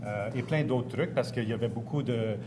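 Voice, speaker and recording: A man's voice: medium pitch, normal speed. Hesitant middle-aged man over background noise. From Ontario, Canada. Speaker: engineer, entrepreneur and interview guest. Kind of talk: conversational interview answers. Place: restaurant. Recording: clear background ambience noise.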